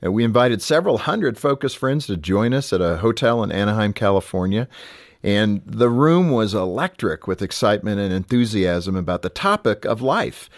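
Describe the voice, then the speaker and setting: A man hosting a radio program, talking in an animated, conversational way. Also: medium pitch, no echo, clean studio sound. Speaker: host. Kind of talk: radio program host chat.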